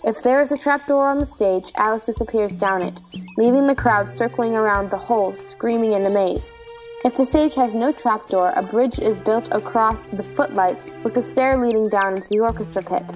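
A woman's voice: on the high side, moderate pace, faint music behind. A woman narrator reading stage directions as scripted narration.

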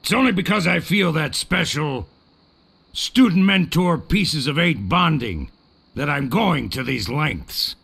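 A man voicing a gruff, crusty sword trainer in a cartoonish adventure game, barking, bragging and contemptuous. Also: on the high side, lively intonation, a little slow, a bit bright, full character voice. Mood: grudging, blustery. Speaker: sword fighting coach.

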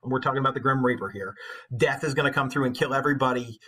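Husky, bright-voiced man giving a lecture. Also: mid-range voice, fast talker. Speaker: English teacher. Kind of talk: informal literature lecture.

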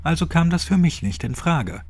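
A man's voice: a little high, sing-song, moderate pace, voice pushed up. A man voicing an elderly, quirky retired gardener in a horror audiobook, chatty, colloquial and grimly cheerful. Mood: wry, rambling. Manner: folksy, chatty, colloquial rambling.

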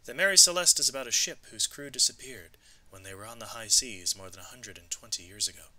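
A man narrating an educational storybook read-aloud, with expressive, clearly emphasised delivery. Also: fairly low pitch, quick pace, low-quality recording.

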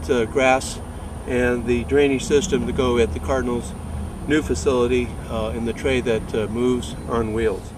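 Male, fifties, hesitant technical explainer, informal, off-mic sound with noticeable background noise. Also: mid-range voice. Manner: halting, hesitant delivery. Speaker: sports turf manager. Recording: distant, roomy sound with clear background noise.

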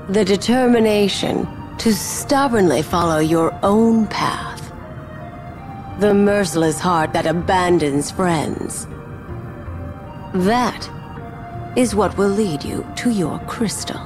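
A woman's voice: medium pitch, animated, takes it slowly, pauses often, music in the background. A woman playing a menacing, cold, taunting sorceress in a video game, speaking in an imperious, theatrical manner.

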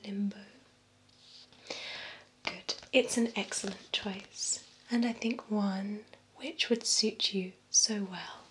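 A young woman performs a calm female vampire beautician in an ASMR roleplay. Her voice is a soft, breathy whisper, very slow and deliberate, with gentle attention to each client. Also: medium pitch, a little hiss or hum. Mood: calm, attentive. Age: young adult. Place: small indoor room with bookshelves.

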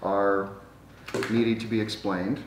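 A man's voice: lowish voice, steady tone, moderate pace. An older man co-hosting a casual gadget test at home, talking with dry, grumbling sarcasm. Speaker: vlog co-host. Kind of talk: casual chat while testing a gadget. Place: dining room at home. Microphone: camera microphone across the room. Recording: echoey room, distant mic, faint noise.

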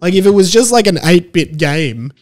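A man in his thirties vlogging about video games in a casual, animated, emphatic way into a handheld microphone. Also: high voice, normal speed. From Australia. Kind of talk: casual enthusiastic talk to camera. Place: small room at home, indoors. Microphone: handheld microphone close to the mouth. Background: background music.

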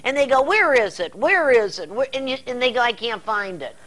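Older American woman, fairly high, hosting. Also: sing-song, brisk, a bit bright. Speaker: TV interview host. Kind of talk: casual talk-show interview. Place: indoor TV studio set.

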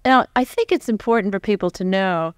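A woman's voice: medium pitch, fairly quick, clear, resonant tone, no echo, studio quality. An American woman in her forties interviewing conversationally, reworking her questions as she goes. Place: seated on a stage before a live audience. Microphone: large microphone on stand close to mouth.

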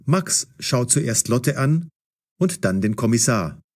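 A man's voice: mid-range voice, big pitch swings, slow, a little mellow. A man narrating a scripted story as the narrator of an audio drama.

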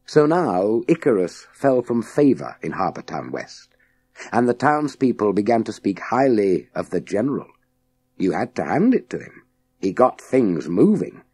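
A man narrating a children's science fiction audiobook in a measured, dramatic storytelling manner with deliberate pauses. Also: fairly low pitch, very animated, dark tone, husky, dry recording.

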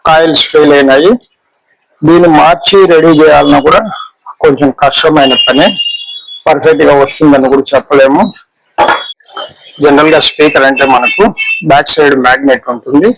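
Creaky-voiced man explaining a repair. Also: fairly high pitch, rough recording. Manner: halting, repetitive explaining with English technical words. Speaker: electronics repair demonstrator. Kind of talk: informal hands-on repair explanation. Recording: clear background noise with clicks.

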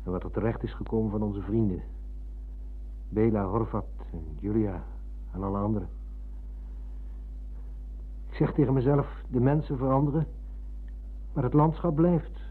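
Radio drama: courteous, wistful former pupil, husky mid-pitched voice, narrow vintage sound. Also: male voice, normal speed, pauses often. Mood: polite, nostalgic. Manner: courteous, reflective. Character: nostalgic, polite former pupil visiting his old teacher. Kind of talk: radio play acting. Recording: muffled, lo-fi old radio recording.

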